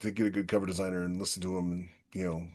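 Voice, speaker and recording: Man, slightly low pitch, casual podcast host, opinionated, emphatic advice-giving. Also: little pitch movement, racing, darkish tone.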